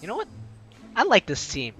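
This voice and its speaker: A man's voice: high voice, huge pitch swings, normal speed, pushed and bright, soft background music. A man hosting a let's play, reading the story aloud in a playful, dramatic way and adding his own commentary.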